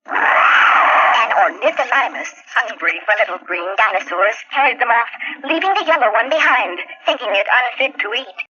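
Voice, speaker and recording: A woman reads a children's storybook in a high, very bright voice. Her scripted narration swings through lively pitch changes. It plays back muffled, like an old 1970s talking toy record. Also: moderate pace, slightly rough.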